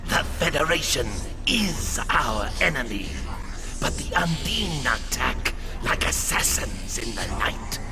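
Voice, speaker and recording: A man voicing a proud, hostile alien warrior ambassador in a video game, declaiming forcefully and accusingly. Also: mid-range voice, big pitch swings, slow pace. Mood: grim. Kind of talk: video game character acting. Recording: long hall reverb, music behind.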